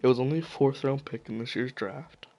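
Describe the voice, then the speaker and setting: A man talks through the news on his own in a loose, cursing way and trips over names now and then. His voice is gravelly and worn, as if it is slowly giving out. His pitch sits in an ordinary middle range. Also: dark tone. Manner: profane, offhand, stumbles over names. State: losing his voice. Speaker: hockey video commentator. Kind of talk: casual solo commentary.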